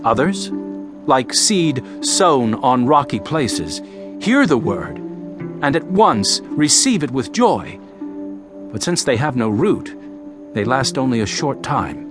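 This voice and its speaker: An actor playing an impassioned male teacher preaching parables in a dramatized audio Bible, with declamatory, deliberate phrasing. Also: mid-range voice, big pitch swings, music in the background. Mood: earnest, explaining.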